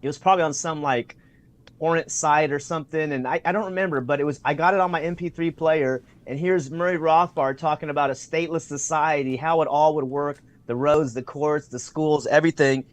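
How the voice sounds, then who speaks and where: Enthusiastic male guest, higher voice. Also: fairly even intonation, a little fast. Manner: enthusiastic, acts out quoted remarks, many fillers. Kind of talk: conversational interview storytelling. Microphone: remote video call.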